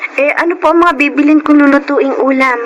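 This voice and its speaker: An actress playing a bubbly, dutiful younger sister in a Filipino radio drama. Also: female voice, high voice, steady tone, fairly quick, lo-fi sound, loud music behind the voice, lightly acted.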